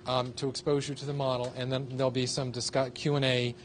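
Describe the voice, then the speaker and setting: A man's voice: little pitch movement, fast talker. A man giving an introduction at a community care conference, speaking with frequent restarts and hesitations. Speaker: research study lead introducing a training. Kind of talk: conference talk. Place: conference room. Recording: distant mic with loud rumble.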